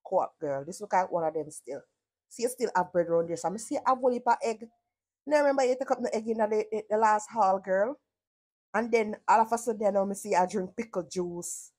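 A woman's voice: mid-range voice, brisk, a little mellow. A woman from Jamaica with a Jamaican accent gives sarcastic reaction commentary, blunt and full of profane patois.

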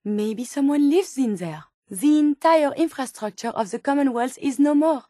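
Female robot scientist, high voice, dry close sound, curious, polite, formal, video game companion. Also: expressive, moderate pace, warm tone, no room sound. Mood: earnest, analytical. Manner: formal, precise wording with wide-eyed wonder. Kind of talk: scripted game companion dialogue.

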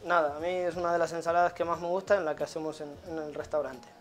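A man's voice: a little high, fairly even intonation, fast talker. Male chef demonstrating, music behind. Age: 30s. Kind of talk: casual cooking demonstration. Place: small tiled restaurant kitchen.

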